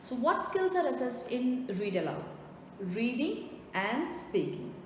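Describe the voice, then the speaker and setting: Female trainer for instructional lesson, fairly high voice, emphatic and explanatory; reverberant room recording with faint noise. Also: very animated, a little slow. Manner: didactic, emphatic repetition with rhetorical questions. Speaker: test-preparation trainer.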